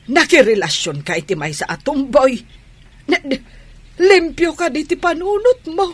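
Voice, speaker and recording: A woman playing an anxious, dismayed mother in an Ilocano radio drama, husky and lamenting. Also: high-pitched, animated, moderate pace, no room sound. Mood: shocked, scolding. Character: anxious, dismayed mother fretting over her child.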